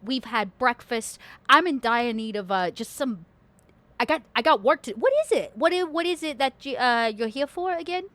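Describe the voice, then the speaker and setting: A woman as a brisk, put-upon businesswoman, close and dry. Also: a little high, animated, a bit bright, no room sound. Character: brisk, weary businesswoman, proud self-made suit-shop owner.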